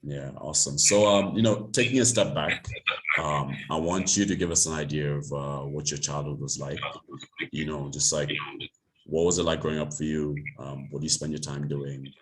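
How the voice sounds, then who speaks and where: Very deep-voiced young male podcast host. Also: fairly even intonation, normal speed, poor audio. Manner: casual, full of likes and you knows. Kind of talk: casual interview talk.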